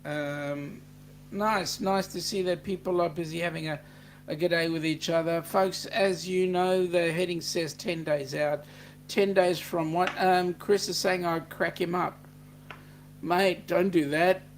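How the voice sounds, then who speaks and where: A man's voice: high-pitched, fairly even intonation, measured pace, slight background noise. An Australian man hosting a livestream, reading out chat messages and greeting viewers by name in a chatty, jokey way. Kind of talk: casual chat.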